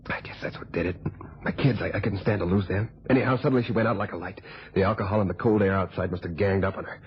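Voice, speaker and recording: A cornered murderer and straying husband played by a man, bitter and without remorse, speaks in a low voice. It is old radio drama acting, on a muffled recording with some noise. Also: lightly acted. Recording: dull noisy vintage radio recording.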